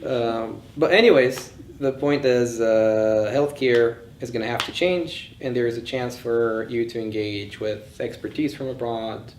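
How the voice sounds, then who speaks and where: A man lectures informally in a medium-pitched voice, offering firm opinions as he thinks aloud. The room is echoey, with faint noise behind him. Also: unhurried, hardly any pauses, darkish tone. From born in Bulgaria, grew up in several countries. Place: indoors in a session room.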